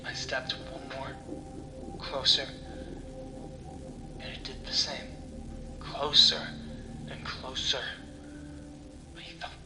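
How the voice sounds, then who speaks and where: A man narrating a first-person horror story dramatically, in halting short phrases that often drop to a breathy half-whisper. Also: medium pitch, monotone. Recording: echoey room with music underneath.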